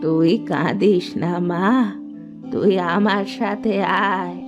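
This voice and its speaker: A very slow, gentle old village woman as acted by a woman in a cartoon story. She sounds comforting, in a dull lo-fi recording with clear music underneath. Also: medium pitch, steady tone.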